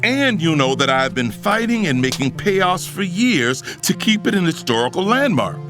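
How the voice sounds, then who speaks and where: A man playing a shrewd, defiant businessman in an audio drama, smooth-talking and confrontational. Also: high voice, sing-song, moderate pace. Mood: cool, accusing. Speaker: first-person narrator and character. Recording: music playing behind the voice.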